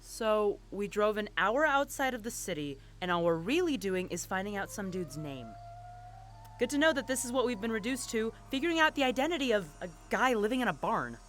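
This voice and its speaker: Actress as a wry, animated female investigator. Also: mid-range voice, fairly quick, slight background noise, close to an everyday voice. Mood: sarcastic, puzzled. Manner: wry, questioning. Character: skeptical, sarcastic female police investigator. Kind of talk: audio drama acting.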